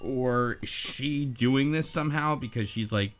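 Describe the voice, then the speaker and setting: A man's voice: medium pitch, unhurried, fairly bright tone, faint music behind. Rambling man hosting a casual chat. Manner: rambling, thinking aloud with many restarts. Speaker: podcast host. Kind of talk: casual group discussion.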